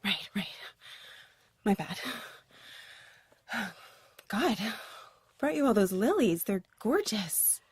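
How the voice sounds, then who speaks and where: A woman's voice: medium pitch, sing-song, slow, pauses often, darkish tone, breathy edge, no echo, full character voice. An actress playing a nervous young woman who rambles and apologizes, in an audio drama.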